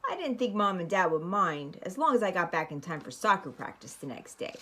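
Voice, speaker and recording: A woman storyteller reading a children's picture book aloud with expressive, emphatic phrasing. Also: fairly low pitch, a little fast, a little hiss or hum.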